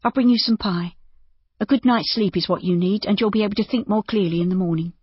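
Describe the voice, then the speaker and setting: Narrator putting on an earnest, higher-voiced young innkeeper woman. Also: quick pace, slightly rough, dull audio, close to an everyday voice. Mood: gentle, practical. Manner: earnest, coaxing, reassuring. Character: anxious, kindly, sensible young innkeeper woman. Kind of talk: audiobook character dialogue.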